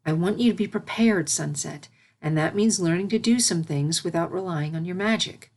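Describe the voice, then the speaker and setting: A woman voicing a patient, gentle royal pony princess who teaches and consoles her pupil in a storybook reading. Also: fairly low pitch. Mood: firm, instructive. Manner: measured, instructive, consoling.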